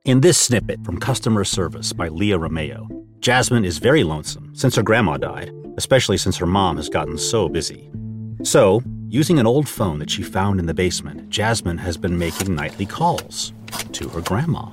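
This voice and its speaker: Deep-voiced, expressive male narrator over music. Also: moderate pace. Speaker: host and narrator. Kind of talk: scripted trailer narration.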